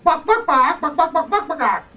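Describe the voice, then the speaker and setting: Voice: high-pitched, very animated, normal speed, bright, forceful tone, voice pushed up, exaggerated voice. A performer voicing a silly magic chicken in squawky, repeated clucks for a storybook tale. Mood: silly, excited. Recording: distant mic in noisy audience room.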